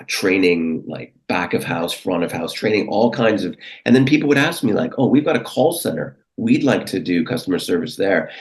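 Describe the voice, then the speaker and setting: A man's voice: low-pitched, darkish tone. A middle-aged Canadian man from Vancouver answering as an interview guest, recounting his career in a conversational way.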